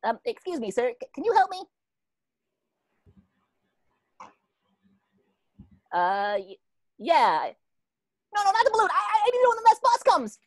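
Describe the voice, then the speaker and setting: Woman as a wildly swooping, excitable cartoon sponge. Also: very high voice, a little fast, dry recording, clean studio sound. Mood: frantic. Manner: theatrical, exclaiming, talking to self. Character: excitable, naive young male cartoon sea sponge. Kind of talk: amateur table read of a cartoon script.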